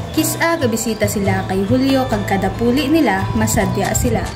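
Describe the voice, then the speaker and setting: A woman's voice: a little high, natural voice. A woman with a Western Visayan Filipino accent narrating a radio drama as a wounded but resilient mother. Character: wounded, resilient mother telling her own story. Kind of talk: scripted first-person radio drama narration. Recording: echoey room, loud music bed.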